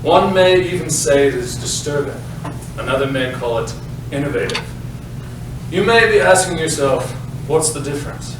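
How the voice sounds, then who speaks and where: An actor playing a pompous, self-important British playwright, delivering a grandiose, melodramatic stage monologue with dramatic pauses. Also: male voice, a little high, animated, natural voice. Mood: boastful, ominous. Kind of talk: theatrical monologue at a staged reading. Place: live staged reading, echoey indoor space. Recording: echoey room, distant mic, faint noise.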